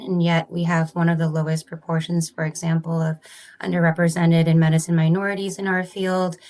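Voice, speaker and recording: A woman physician answering interview questions about her research in a measured, articulate and earnest way. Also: on the low side, darkish tone. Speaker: ophthalmologist, study author.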